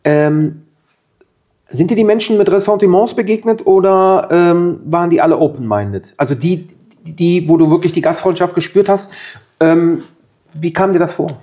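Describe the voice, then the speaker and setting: A man's voice: high-pitched, moderate pace, mellow. A man from Germany in his forties, hosting a sit-down interview, asking questions with lots of hesitant fillers. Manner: reflective questions with fillers and short reactions. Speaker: interview host. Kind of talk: conversational interview. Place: room with plants at a table. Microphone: lapel mic.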